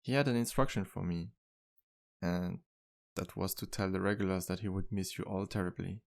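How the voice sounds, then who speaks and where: A man acts out a charming male vampire bartender for an ASMR roleplay. His voice sits low, with a heavy gravelly creak. He speaks gently and modestly, in courteous, slightly formal phrases meant for a single listener. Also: steady tone, normal speed. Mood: warm, modest. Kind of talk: ASMR roleplay, intimate one-sided dialogue.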